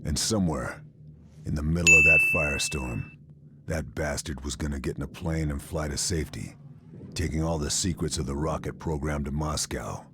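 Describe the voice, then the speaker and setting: Very deep, grave war sniper. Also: male voice, normal speed, very noisy. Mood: urgent, bitter. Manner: terse, grave, hard-boiled delivery. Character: weary, steely American WWII sniper recounting his mission. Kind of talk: scripted cinematic game narration.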